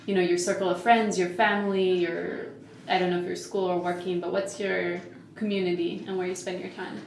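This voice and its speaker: A woman's voice: fairly low pitch, level tone, brisk, vocal fry, reverberant. A woman interviewer asking loose, rambling questions with many fillers.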